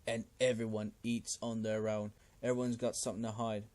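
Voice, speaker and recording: A man voicing a bitter, leering cannibal thug in a game, talking in a gruff, slangy, loud way. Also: on the low side, level tone, a little fast, natural voice. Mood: bitter, resentful.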